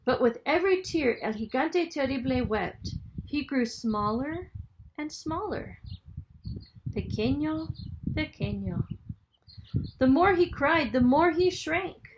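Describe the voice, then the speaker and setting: A woman reading a children's story aloud in a dramatic, expressive storytelling manner. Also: high voice, slow, background noise. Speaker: storybook reader, school superintendent.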